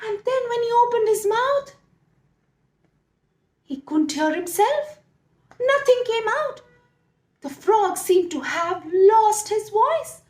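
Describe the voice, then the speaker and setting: Very high-pitched, animated woman storyteller. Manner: dramatic, drawn-out emphasis. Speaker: children's storyteller. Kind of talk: expressive storytelling for children. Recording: echoey room.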